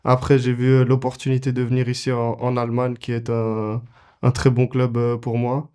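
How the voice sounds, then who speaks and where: Hesitant young man, dark flat voice. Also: medium pitch, normal speed, smooth. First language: French. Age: 20s. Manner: hesitant, many fillers and restarts. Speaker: football goalkeeper, interviewee. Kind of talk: casual interview answers.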